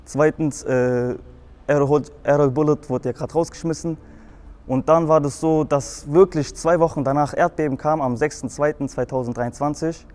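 A man's voice: a little high, fairly even intonation, normal speed, a little mellow. A young man from Frankfurt with a Hessian accent, telling his own story in a rambling way, with many fillers and restarts. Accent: Hessian German. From Germany, Frankfurt. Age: young adult. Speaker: guest in a show video.

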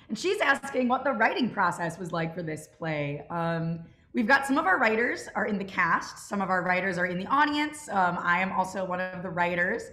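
A woman's voice: mid-range voice, fairly bright tone. Young woman hosting, roomy echo. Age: young adult. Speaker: Q&A host, club writer. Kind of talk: informal event hosting. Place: indoors on a group video call. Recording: roomy echo on video call.

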